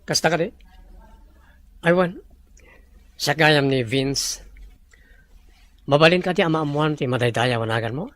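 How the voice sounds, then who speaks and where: A man playing a polite, welcoming resort gentleman in an Ilocano Filipino audio drama. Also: a little high, a little slow, long pauses, no room sound. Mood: courteous. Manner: polite, mixes in English greetings.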